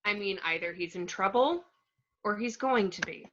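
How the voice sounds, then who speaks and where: Woman acting anxious superhero mom, bright voice. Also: mid-range voice, moderate pace, lo-fi sound, a little hiss or hum, natural voice. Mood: brisk, worried. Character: anxious, take-charge superhero mother and pilot. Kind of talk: amateur online table read.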